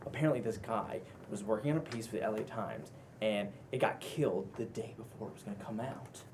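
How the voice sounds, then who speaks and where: A man playing a worried, sarcastic young best friend with casual, halting slang in a script read. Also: on the low side, close to an everyday voice. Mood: teasing, gossipy. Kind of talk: table read of a pilot script. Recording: faint background noise.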